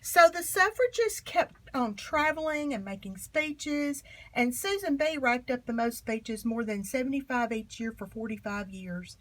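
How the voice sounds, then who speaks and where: A woman reading a children's history book aloud in an expressive, emphatic storytelling manner. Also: fairly high pitch, a little slow, few pauses, low-quality recording. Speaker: storybook reader.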